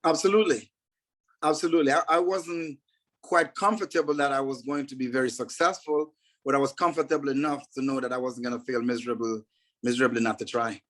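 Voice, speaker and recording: A man from Jamaica, a guest in an interview, telling his story in an earnest, measured way with frequent fillers. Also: medium pitch, steady tone, a bit bright.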